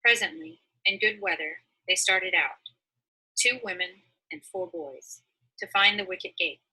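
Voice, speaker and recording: A woman reading a storybook aloud as narrator, in a dramatic, expressive manner. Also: fairly low pitch, unhurried, breathy edge, rough recording. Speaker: story reader.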